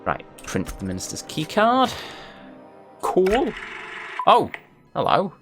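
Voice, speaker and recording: A man's voice: sits high, wildly swooping pitch, takes it slowly, over music. A man hosting a let's play, reading on-screen messages aloud and adding wry asides. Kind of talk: casual game commentary.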